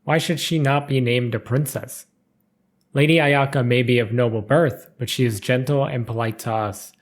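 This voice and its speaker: Guy reading lore out loud, scripted storytelling, kinda formal and measured, normal mid pitch voice. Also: fairly even intonation. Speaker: narrator reading game character lore.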